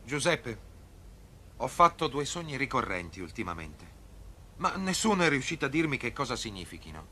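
A man's voice: mid-range voice, sing-song, moderate pace, sharp tone, slight background noise. A man playing a commanding, troubled Egyptian pharaoh in a cartoon, speaking solemnly and declaiming. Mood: grave, narrating dreams. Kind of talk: dubbed cartoon acting.

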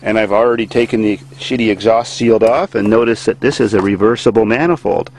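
A man giving a laughing, excitable running commentary from a handheld camera while driving a car on gravel farm roads and working on it outdoors. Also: lowish voice, a little fast. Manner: excitable, laughing, crude joking. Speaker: farm vlogger. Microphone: handheld camera mic. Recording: loud engine and road noise. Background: roaring engine.

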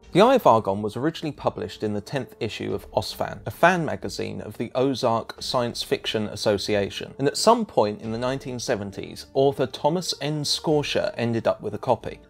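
Male essay narrator, creaky edge, wry and emphatic scripted read over faint music. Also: mid-range voice.

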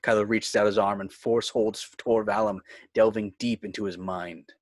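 A man's voice: fairly low pitch, fairly even intonation, moderate pace, no echo, studio quality. A man working as narrator and host of a fan script reading. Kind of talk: reading screenplay directions, host outro. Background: music.

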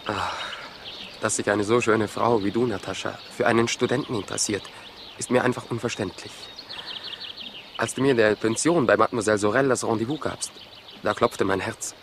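Actor as low-voiced lovestruck male student. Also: a little fast, lightly acted. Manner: ardent, earnest. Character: lovestruck, naive young male student, earnest and jealous. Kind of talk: 1960s German radio crime play acting. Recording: noisy 1960s radio play recording.

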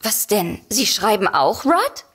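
An actress playing a polite hostess and devoted literary fan in a comedic audio drama. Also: female voice, medium pitch, very animated, slow, a bit bright, breathy edge, heavily acted. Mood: adoring.

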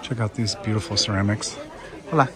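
A man narrating a relaxed walking tour of an outdoor market, speaking calmly and sparingly as a travel vlogger. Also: on the low side. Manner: calm, unhurried, sparse commentary. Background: crowd chatter.

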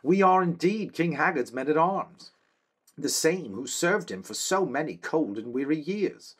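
A man reading aloud, voicing an eager young man-at-arms in a high, loud, husky voice. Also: lively intonation, measured pace. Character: young soldier, eager and joyful.

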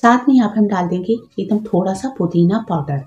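A woman hosts a home cooking tutorial, walking through each step patiently and adding practical tips. Her voice is mellow and unhurried. It sits in an echoey room with faint music underneath. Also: mid-range voice, highs cut off. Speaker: cooking channel host.

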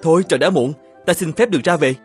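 A man voicing an earnest, evasive married painter in an animated folktale, with a polite and hesitant manner. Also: on the high side, fast talker, soft background music, lightly acted. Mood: courteous.

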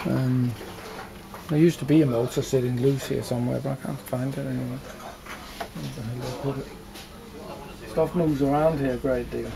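A man's voice: mid-range voice, fairly even intonation, normal speed, vocal fry, pauses often, crowd noise, poor audio. An older man giving a factory tour, rambling with frequent restarts, recorded on a camera mic in a large warehouse. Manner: rambling, restarts, hands gesturing while talking. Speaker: audio company founder. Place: large warehouse with shelving, indoors.

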